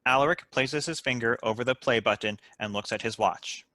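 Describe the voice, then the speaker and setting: A man's voice: medium pitch, brisk, bright, forceful tone, no echo. A man reading stage directions aloud as scripted narration for a comedy script.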